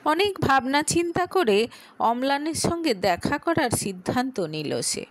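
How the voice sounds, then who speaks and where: A woman narrates a dramatic audio story in a high voice. Her delivery is unhurried and very animated in pitch, like a scripted tale read for listeners. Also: no echo.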